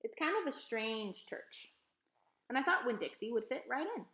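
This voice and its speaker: A woman reading a children's book aloud as an expressive storyteller. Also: a little high, moderate pace. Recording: echoey room.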